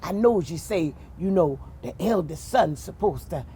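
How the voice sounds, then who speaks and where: A woman's voice: on the low side, expressive, moderate pace, mellow, slight background noise, natural voice. A woman voicing an aging African king who stammers and sweet-talks his wife in a told folk tale. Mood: hesitant. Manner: stammering, drawling.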